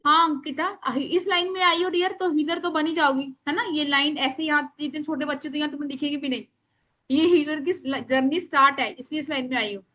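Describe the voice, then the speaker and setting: High, bright, loud woman teaching. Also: steady tone, a little fast. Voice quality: loud, strong voice. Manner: instructive, emphatic, addresses listeners by name; mixes English words into speech. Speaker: astrology remedies teacher. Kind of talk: live online class, informal explaining.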